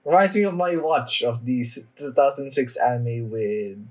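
Slow, husky young man reacting casually. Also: mid-range voice. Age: young adult. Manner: halting, sweary, repeats phrases. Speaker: reaction video host. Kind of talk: casual off-the-cuff reaction commentary. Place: small room indoors at home.

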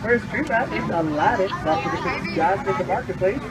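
High-voiced man giving a storybook boat tour. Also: animated, fairly quick, over a noisy crowd. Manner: storybook-style spiel with playful asides. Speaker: boat ride guide. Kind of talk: scripted tour narration. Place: outdoor theme park boat ride.

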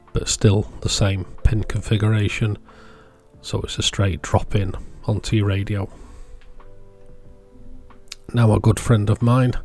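Low-voiced man presenting electronics. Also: darkish tone. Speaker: presenter of an electronics hobby channel. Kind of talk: casual product showcase voice-over.

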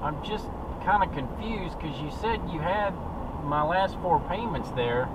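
A man's voice: fairly high pitch, lively intonation, unhurried. A man talking on a phone call while driving, stalling with hesitant, confused answers. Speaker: scambaiter. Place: inside a moving car. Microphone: dashcam mic. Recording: distant, dull car mic with road noise.

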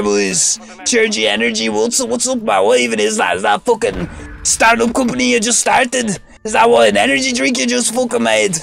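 A squeaky, rasping old smoker of a junkie mocks and swears at strangers in an online shooter. His voice sits very high and grinds with a gravelly rattle. Loud music plays under his headset voice. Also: heavily acted. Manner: taunting, swearing trash talk at strangers. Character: raspy old smoker junkie man, loud trash-talker. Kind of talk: voice trolling in online game chat.

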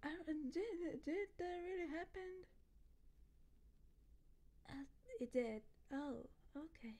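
A woman voicing a happy, goofy, drunk girlfriend in a roleplay, soft and often near a whisper, rambling and repeating herself. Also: very high voice, animated, exaggerated voice. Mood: confused, rambling. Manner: rambling, halting, repeating words, giggly. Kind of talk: ASMR roleplay, voicemail monologue.

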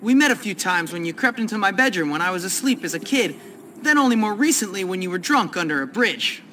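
A man's voice: sits high, big pitch swings, fast, sharp tone, slight background noise, full character voice. A man voicing a cocky, sarcastic schoolboy tough with a wry delivery, in a video game cutscene.

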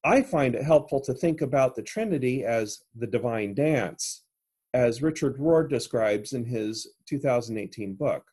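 A middle-aged man preaches an earnest homily from a room at home, speaking into a desk webcam. His voice is mellow and slightly husky, and its pitch rises and falls a great deal as he explains. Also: lively intonation, unhurried.

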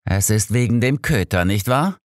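A man's voice: lowish voice, expressive, normal speed, no room sound, clean studio sound, pitched above the performer's own voice, close to an everyday voice. A man voicing an irritable, sarcastic old detective in an audiobook, curt and condescending. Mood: needling, annoyed. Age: older. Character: irritable, sarcastic old male detective, imperious know-it-all. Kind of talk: audiobook character dialogue.

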